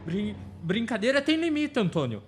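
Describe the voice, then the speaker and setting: A man's voice: high-pitched, very animated, moderate pace, faint music behind. A man playing a frightened, nervously exclaiming young adventurer in an amateur radio drama. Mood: terrified.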